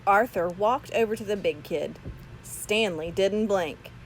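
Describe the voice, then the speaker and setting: A woman narrating a children's story aloud in an expressive read-aloud style. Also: mid-range voice, unhurried, slight background noise, poor audio.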